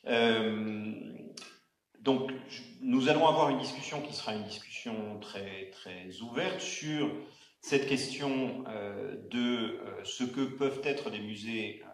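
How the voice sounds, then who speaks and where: A man moderating a round-table discussion in an auditorium, speaking haltingly with many fillers and restarts. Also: fairly low pitch. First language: not Arabic. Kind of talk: hesitant spoken introduction at a panel. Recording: echoey auditorium sound.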